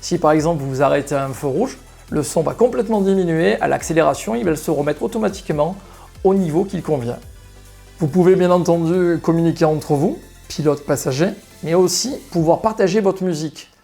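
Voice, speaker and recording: A man's voice: a little high, gravelly, dark tone. A man giving an explanatory, semi-scripted product presentation straight to viewers as a shop presenter. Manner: explanatory, addressing viewers directly.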